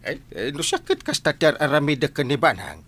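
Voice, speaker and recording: A man's voice: on the high side, huge pitch swings, fairly quick, a bit bright, full character voice. A man playing a gossipy village captain in an Ilocano comedy radio drama, talking in exclamations and interjections.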